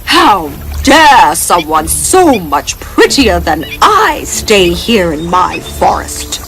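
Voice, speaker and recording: Woman as scheming storybook witch, indignant, theatrical, slow, big pitch swings, music behind. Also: big pitch swings, a bit bright, exaggerated voice. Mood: jealous fury. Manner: indignant, dramatic. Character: jealous, scheming, vain female witch.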